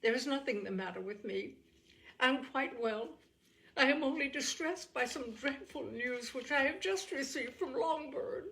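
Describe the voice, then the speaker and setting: A woman voicing an agitated young gentlewoman from a classic novel, breathless and near tears, with anguished emphasis in an audiobook reading. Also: sits high, normal speed, creaky, voice pushed up. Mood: distressed, tearful. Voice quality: breathless, breaking voice.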